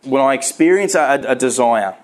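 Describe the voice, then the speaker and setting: A man's voice: medium pitch, lively intonation, fairly quick. A man in his thirties with a stutter, giving a candid, earnest personal talk on faith to a live audience, often restarting his words.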